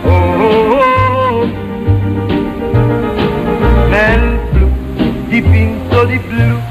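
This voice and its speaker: A woman's fairly high voice sings very slowly, stretching out each line. The recording sounds dull and lo-fi, with loud music underneath. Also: huge pitch swings. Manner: slow, drawn-out singing. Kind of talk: singing a pop song.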